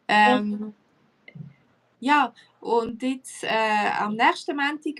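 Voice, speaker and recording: A woman with a Swiss German accent, hosting a casual live-stream chat in a relaxed, hesitant way, with many fillers. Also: a little high, far from the mic. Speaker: host and Swiss German teacher. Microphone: video call.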